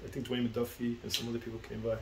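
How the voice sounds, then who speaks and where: A man's voice: medium pitch, monotone, quick pace, reverberant. A middle-aged man from the Bronx, talking as the guest in a casual interview, rambling with many fillers and restarts. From the Bronx, New York. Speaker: guest, comic book writer. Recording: faint background noise.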